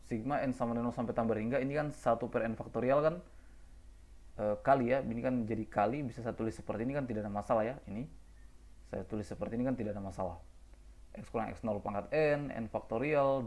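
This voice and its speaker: A man's voice: mid-range voice, a little mellow. A man teaching a math lecture, walking through formulas step by step, patiently checking in with his listeners and correcting himself as he writes. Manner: patient, repeats "ya" often, self-corrects. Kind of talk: lecture explaining formulas while writing.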